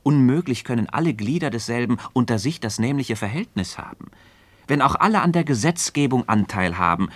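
An actor playing an earnest philosopher in a literary radio dialogue, speaking formally and didactically, building each point with emphasis. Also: male voice, mid-range voice, no echo. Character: earnest, insistent male philosopher leading a Socratic dialogue. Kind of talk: German literary radio play dialogue, formal stage diction.

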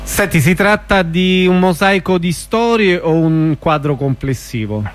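A man's voice: high voice, lively intonation, measured pace, soft background music. A man working as a radio interviewer, putting short questions to a guest. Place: radio broadcast.

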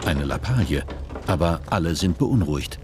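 An actor as the narrator of a dystopian radio play, reading scripted prose in a measured, deliberate way. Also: male voice, low-pitched, lively intonation. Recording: noisy vehicle-like ambience behind.

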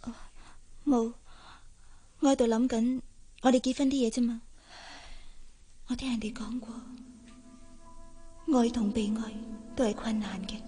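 An actress playing a gentle, resigned young woman in a Cantonese radio drama, hesitant and soft-spoken, with a Hong Kong accent. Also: a little high, steady tone, unhurried, long pauses, big-room sound, faint music behind, close to an everyday voice. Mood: melancholy, reflective. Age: young adult.

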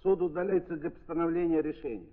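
Casting: male actor as court president, fairly high voice, official declamation, tinny vintage drama recording. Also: close to an everyday voice. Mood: solemn, official. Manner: official, declamatory, measured formal reading. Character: formal presiding judge reading out a sentence. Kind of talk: 1930s Russian radio drama acting. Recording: tinny 1930s recording in reverberant hall.

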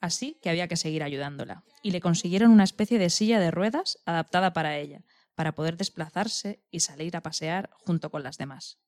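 A woman's voice: lowish voice, fairly quick, darkish tone, no room sound. Dry, close-sounding woman storyteller from radio. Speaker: radio programme co-host. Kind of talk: scripted storytelling narration.

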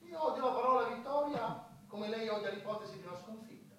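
High-voiced, wry underling, echoey hall. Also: male voice, fairly quick, fairly bright tone, slight background noise. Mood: exasperated refusal. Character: wry, stubborn male underling chronicling an absurd war. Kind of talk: satirical theatrical narration and dialogue. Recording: very echoey hall, distant from the mic.

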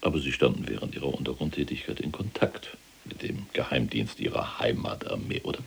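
An actor playing a proud, self-justifying imprisoned SS general in a German radio drama, gravelly and husky, with a clipped, emphatic military delivery. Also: male voice, extremely low pitch, lively intonation, a little fast, a little hiss or hum, exaggerated voice. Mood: insistent, condescending.

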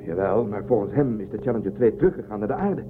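A man's voice: lowish voice, animated, fast talker, background noise, natural voice. A man acting in a radio drama as a serious, decisive spaceship commander. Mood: puzzled. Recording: tinny phone-like narrowband audio. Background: music.

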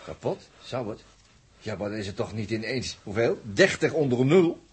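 An actor playing an excitable, wry crewman with halting, colloquial speech in a 1960s Dutch radio drama. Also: mid-range voice, breathy edge. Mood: shivering, alarmed. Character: excitable, wry, self-blaming spaceship crewman. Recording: noisy old 1960s radio recording.

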